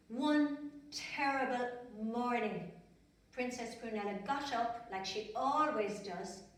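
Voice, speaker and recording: A woman's voice: medium pitch, slight background noise. An Irish woman telling a story to small children in simple, repetitive phrases, chatting and asking them questions as she goes. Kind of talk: storytelling for small children, with asides while drawing. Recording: very echoey room, distant microphone.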